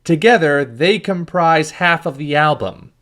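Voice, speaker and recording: A man's voice: on the high side, expressive, measured pace, fairly bright tone. A man reading a scripted review as host, with a wry, critical manner.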